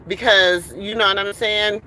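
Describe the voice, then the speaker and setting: A woman's voice: mid-range voice, fairly even intonation, unhurried, sharp tone, a little hiss or hum, low-quality recording. A middle-aged woman ranting on a casual livestream from a car, heated and rambling with many fillers. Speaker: livestream host.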